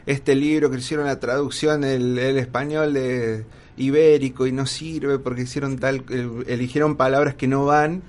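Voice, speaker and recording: A man's voice: mid-range voice, steady tone, moderate pace, few pauses. A man hosting an interview, asking long, meandering questions with many fillers. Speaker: radio host. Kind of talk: conversational interview.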